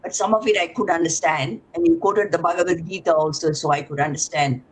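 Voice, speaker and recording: A man from Singapore whose first language is Tamil, speaking in a halting, casual way with many fillers on a video call. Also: on the high side, fairly even intonation, slightly rough, rough recording. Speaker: audience participant. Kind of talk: casual chat. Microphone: webinar video call.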